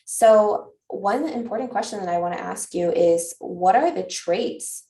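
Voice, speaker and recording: A woman's voice: mid-range voice, unhurried, dark tone. A woman hosting an interview, asking questions in an encouraging, thoughtful manner. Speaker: host. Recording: echoey room, far from the mic.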